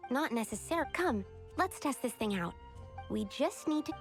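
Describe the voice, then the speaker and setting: A young, analytical game heroine with a high voice. She sounds thoughtful and a little halting, and music plays clearly behind her. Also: animated. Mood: explaining. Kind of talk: video game voice acting.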